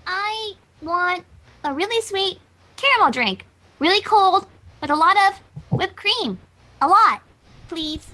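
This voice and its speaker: Here is an eager, demanding young filly from a cartoon fan story. The voice is very high and bright, swinging up and down as it pleads and gets excited. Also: female voice, takes it slowly, long pauses, heavily acted. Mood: eager, pleading.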